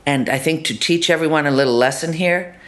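Animated woman actor, loud then murmuring. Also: sits low, brisk. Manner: animated, shifting between loud asides and soft murmurs; rhetorical tag questions. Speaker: actor interviewed about her role. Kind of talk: conversational interview.

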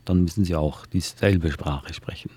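A man hosting a radio podcast, chatting in a relaxed way with occasional filler pauses. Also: sits low, husky, dry recording. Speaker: podcast host.

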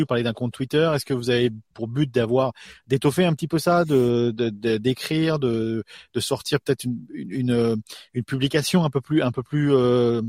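A man's voice: medium pitch, a little mellow, no room sound, clean studio sound. A male radio host asking interview questions, often searching for words and repeating himself.

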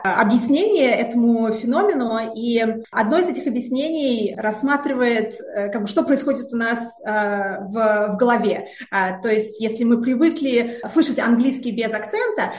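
Woman researcher in an interview, kinda high voice, lots of ums and repeats, echoey room. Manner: hesitant, many filler sounds and repeated words. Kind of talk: interview answers. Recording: roomy echo.

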